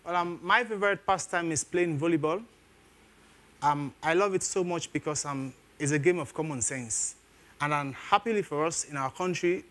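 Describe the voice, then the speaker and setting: A man's voice: a little high, fairly bright tone. A man, a quiz contestant, answering hesitantly with many fillers.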